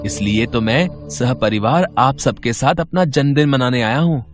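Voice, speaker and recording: A man playing an eager, respectful boy god in an animated devotional story. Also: mid-range voice, moderate pace, faint music behind, lightly acted.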